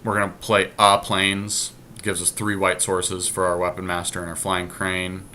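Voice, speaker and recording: Low-pitched man, casual game commentary, reasoning aloud, some background noise. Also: completely flat delivery, normal speed, pushed and bright. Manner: deliberating, counting and reasoning aloud. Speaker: podcast host drafting a card game deck.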